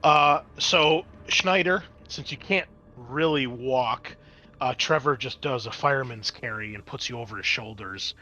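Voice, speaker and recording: A man's voice: medium pitch, measured pace, bright, forceful tone. A man narrating and refereeing a tabletop roleplaying game as game master, in a conversational, explanatory way.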